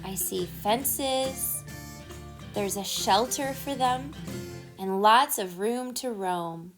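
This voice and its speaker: A woman reading a picture book aloud to children like a teacher, expressively, pausing to explain and ask questions. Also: fairly high pitch, loud music behind the voice. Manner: expressive, instructive, asking questions of young listeners.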